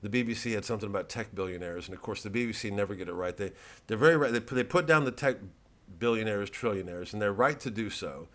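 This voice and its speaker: A man's voice: lowish voice, quick pace. A man giving a rambling, improvised satirical monologue, sardonic and profane, often digressing as he goes. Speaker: satirical comedian.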